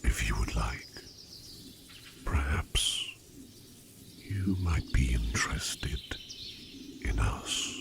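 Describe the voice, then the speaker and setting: A man voicing a courtly, curious sleep demon in human disguise, speaking formally in a breathy, half-whispered ASMR roleplay with deliberate pauses. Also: extremely low pitch, sing-song, noisy background, heavily acted. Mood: secretive, inviting. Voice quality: breathy, often dropping into whisper. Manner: formal, archaic, deliberate phrasing with many pauses. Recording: birdsong and forest ambience behind voice. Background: birdsong, outdoor nature sounds.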